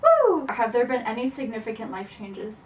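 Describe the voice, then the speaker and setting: A woman's voice: fairly high pitch, wildly swooping pitch. Young woman, distant roomy home recording. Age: young adult. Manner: chatty, teasing, quick to laugh. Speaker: student interviewing her family. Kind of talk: casual home interview with narration. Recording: roomy, distant camera mic, faint hiss.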